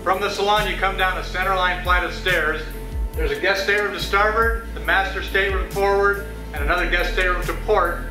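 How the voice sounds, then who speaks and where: A man's voice: high-pitched, steady tone, a little fast, far from the mic. A middle-aged man giving an enthusiastic scripted tour of a yacht, describing each space as he walks through it. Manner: enthusiastic, descriptive, louder out on deck. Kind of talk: scripted walkthrough presentation. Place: aboard a yacht, cabins and open deck. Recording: roomy echo, voice a bit off-mic, music underneath.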